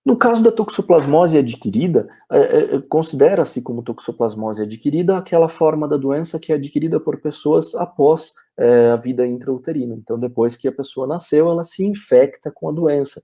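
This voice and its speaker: A man's voice: a little high, lively intonation, normal speed, hardly any pauses, mellow, breathy edge. A man with a Brazilian Portuguese accent giving a veterinary webinar lecture, explaining step by step, with "é" fillers and frequent "ok?" checks.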